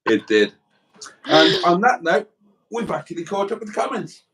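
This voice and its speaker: Welsh male co-host, playful dry delivery, animated intonation, reads chat aloud. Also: high voice, normal speed. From South Wales. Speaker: livestream co-host reading chat comments. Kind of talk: casual banter.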